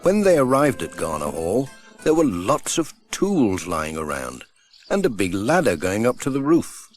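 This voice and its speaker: A man's voice: on the low side, wildly swooping pitch, a little slow, faint music behind. A man narrating a children's story as an audiobook reader, from a script. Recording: faint noise, old audiobook transfer.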